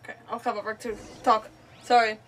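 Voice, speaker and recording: A woman's voice: on the high side, level tone, brisk, fairly bright tone, distant mic, slight background noise. A woman chatting casually as she streams a video game. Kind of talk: casual gaming stream chat.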